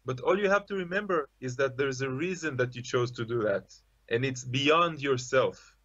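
A man speaks earnestly over a video call with earphones. The audio is a little dull and lo-fi, and his pitch sits in the middle range. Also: normal speed, a bit bright. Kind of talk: interview.

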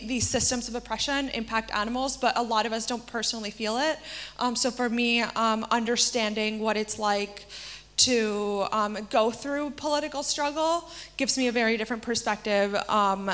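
A woman's voice: on the high side, a bit bright. A young woman from Argentina giving a workshop talk on a small stage into a handheld mic, earnest and peppered with "um" fillers. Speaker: workshop presenter. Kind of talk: conference talk. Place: small stage in a darkened hall with a projection screen.

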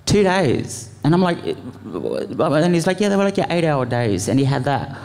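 A man speaking informally as a panelist, earnest and impassioned, in a halting, rambling way. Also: on the high side, sing-song, creaky, a little mellow. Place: large event venue, live panel. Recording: echoey hall with long reverb.

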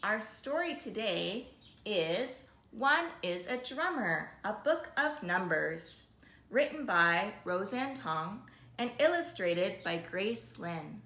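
A woman in her thirties hosting an interactive storytime, reading a picture book expressively and clearly for young children. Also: mid-range voice, very animated, slow pace, pushed and bright. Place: small living room, seated on a sofa. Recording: roomy indoor sound.

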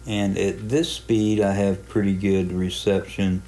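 A man giving a casual, unhurried tutorial narration, explaining a hobby software program step by step in plain terms. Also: low voice, little pitch movement. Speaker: amateur radio hobbyist presenting a software walkthrough.

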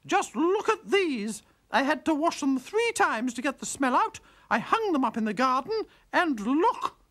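A man's voice: very animated, measured pace, a bit bright, full character voice. A man voicing a fussy, indignant middle-aged wife. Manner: indignant, shrill.

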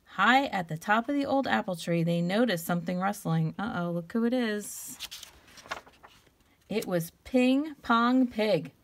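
A woman reads a picture book to young children with very lively, swooping intonation. There is some creak in her voice. She draws out key words and stops to put questions to her listeners. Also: medium pitch. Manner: expressive, stretches key words, pauses to ask questions. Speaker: teacher reading aloud. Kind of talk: animated children's storybook read-aloud.

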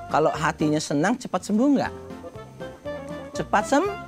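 Male children's storyteller, middle-aged, very lively swooping delivery, playful, music underneath. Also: high-pitched. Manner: playful, asks the children questions. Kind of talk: animated storytelling to young children. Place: TV studio set with seated children. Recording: music behind the voice.

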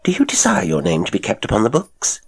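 A man voicing a curt, husky agency manageress. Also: lowish voice, huge pitch swings, fast talker, pitched above the performer's own voice, heavily acted. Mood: sharp, annoyed. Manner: sharp, dismissive. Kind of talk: audiobook character acting.

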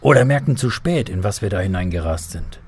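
A man's voice: low voice, expressive, fairly quick, darkish tone, husky. A man playing an earnest believer who patiently explains faith to children in a scripted radio drama.

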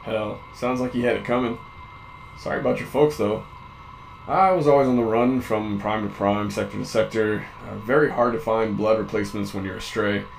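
A man's voice: fairly low pitch, fairly even intonation, normal speed, creaky, slight background noise, low-quality recording. A man playing a curious, nervy young drifter in a sci-fi audio drama, talking casually.

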